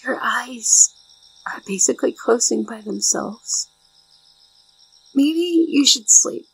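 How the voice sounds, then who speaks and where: Woman acting a breathy, creaky, shy maid. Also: on the high side, animated, takes it slowly, heavily acted, rough recording. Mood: tender, soothing. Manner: formal, deferential, gentle. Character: shy, polite, devoted young female maid. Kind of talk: ASMR roleplay.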